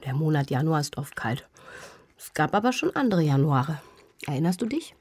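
A woman playing a quiet wife at home in a naturalistic radio play, understated and matter-of-fact. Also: low-pitched, sing-song, moderate pace, breathy edge, heavily acted.

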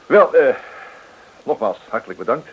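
An actor playing a courteous, hearty police inspector in a radio drama, polite and official. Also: male voice, mid-range voice, very animated, normal speed, lightly acted. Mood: cheerful, grateful. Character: brisk, courteous, grateful male police inspector. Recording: muffled, faintly hissing old radio recording.

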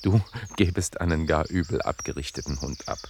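A man playing a lordly, inquisitive master in a radio play, imperious and teasing, with a husky voice. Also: extremely low pitch, moderate pace, a little mellow, slight background noise. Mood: scolding, teasing. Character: lordly, inquisitive master scolding his servant.

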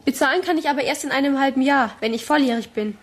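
A pert rich teen girl, high voice, bratty, radio play. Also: fast. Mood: smug, pert. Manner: pert, insolent. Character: cheeky, brash seventeen-year-old rich heiress. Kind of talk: radio drama acting.

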